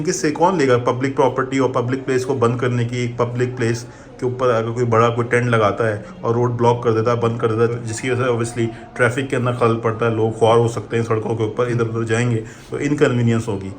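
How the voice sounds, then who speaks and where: A man's voice: medium pitch, level tone, fairly quick. A man with a Pakistani accent conducting an informal interview, putting questions and comments to his guest.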